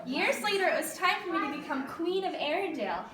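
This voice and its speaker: A woman's voice: very high voice, expressive, a little fast. A woman playing a young snow queen with ice powers, telling her story to children and coaxing them with questions. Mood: wistful, earnest. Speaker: costumed storyteller performer. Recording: distant, echoey room with audience chatter.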